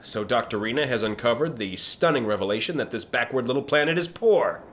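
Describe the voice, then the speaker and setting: A man's voice: mid-range voice, lively intonation, a little fast, fairly bright tone, lightly acted. A man playing a pompous, self-centred, sarcastic starship captain in a comedic sci-fi radio play, speaking in a haughty, theatrical way. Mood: smug, impatient.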